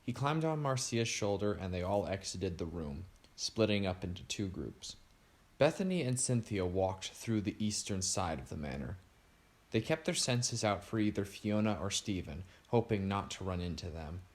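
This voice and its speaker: A man narrating a storybook aloud in a steady reading voice. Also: low-pitched, moderate pace.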